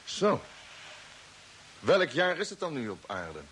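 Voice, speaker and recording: A man acting in a radio play as a wary, earnest spaceship commander who asks probing questions in an expressive way. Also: fairly high pitch, slow, heavily acted, rough recording. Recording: hissy old radio broadcast, faint noise.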